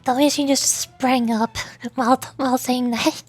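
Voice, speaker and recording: A woman's voice: high-pitched, fairly even intonation, moderate pace, a little mellow, faint music behind. A giggly woman streamer chatting casually to her viewers on a livestream.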